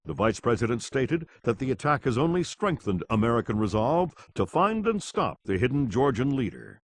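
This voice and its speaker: Animated male news anchor. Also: medium pitch, moderate pace. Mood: sober, urgent. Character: sober male television news anchor reporting crisis. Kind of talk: scripted television news report.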